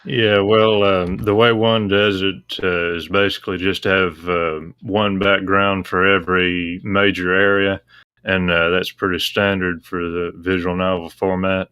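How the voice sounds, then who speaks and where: A man with a low, monotone voice explains things as a guest in a casual discussion. His delivery is measured and matter-of-fact, with a crisp tone and a dry, close sound. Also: no echo. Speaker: interview guest, visual novel translator.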